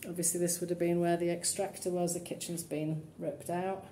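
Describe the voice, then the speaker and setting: A woman's voice: on the low side, little pitch movement, a little fast, dark tone, a little hiss or hum. A woman estate agent walking a camera through an empty townhouse and pointing out its features in a practical, matter-of-fact way, filmed on a handheld phone. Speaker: estate agent giving a property tour. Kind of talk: walkthrough tour commentary. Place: empty, run-down townhouse indoors. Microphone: phone camera held while walking. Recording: echoey bare rooms, faint ambience.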